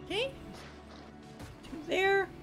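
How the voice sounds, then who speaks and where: A woman's voice: very high-pitched, slow pace, a bit bright. A woman giving casual live commentary as she plays a game, giggly and thinking aloud. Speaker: game streamer. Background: game music.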